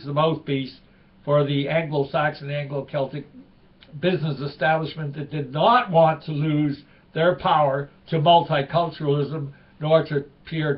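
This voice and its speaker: Older canadian host, talks in meandering asides, muffled lo-fi audio. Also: male voice, on the high side. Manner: meandering, self-interrupting. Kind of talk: rambling solo commentary.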